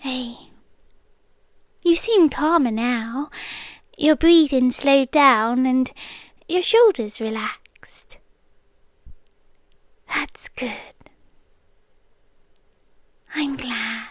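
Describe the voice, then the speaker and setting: A young British woman speaks in a high, soft voice, drawing out every phrase very slowly. Much of it is whispered, intimate and close to the microphone. The recording is dry, with no room sound. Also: no room sound. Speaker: ASMR roleplay performer. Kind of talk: scripted ASMR girlfriend roleplay.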